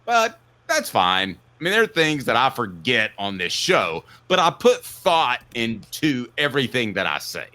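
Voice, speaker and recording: A man hosting a sports talk show, giving opinionated commentary in an emphatic way, with punchy declarations and drawn-out stresses. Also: mid-range voice, very animated, sharp tone.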